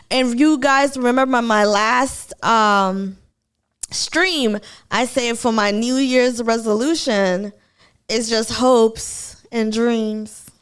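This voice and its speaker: A woman's voice: fairly high pitch, clear, resonant tone. A woman from Kenya with a Kenyan accent, chatting casually to viewers on a live stream about a TV show. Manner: chatty, addresses viewers as y'all.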